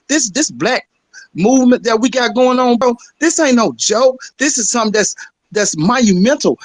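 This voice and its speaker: Emphatic streetwise man, high dry voice. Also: no echo. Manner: streetwise, emphatic, says "bro" and "man" often. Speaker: caller in an audio chat room. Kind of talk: passionate rebuttal.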